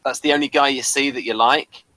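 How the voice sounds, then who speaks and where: A man co-hosting a podcast with casual episode commentary, halting and repeating words as he thinks. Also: mid-range voice, fairly even intonation, bright, forceful tone, no room sound. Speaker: podcast co-host.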